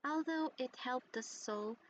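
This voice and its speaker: A woman playing a gentle storyteller, reading a fairy tale with soft, measured delivery in a video game. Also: high voice, a little hiss or hum, rough recording.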